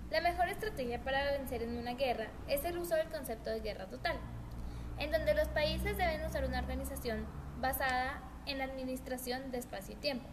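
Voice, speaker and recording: A woman presenting a history documentary, reading earnestly with small stumbles and restarts. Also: high-pitched. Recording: noisy homemade recording.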